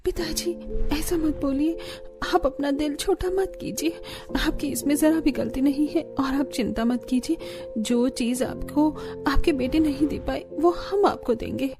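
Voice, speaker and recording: A woman's voice: high voice, a little slow, over music. A woman voicing an earnest, dutiful young daughter-in-law, caring but firm, in a cartoon story. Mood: caring, resolute. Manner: earnest, pleading. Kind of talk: Hindi cartoon story voice acting.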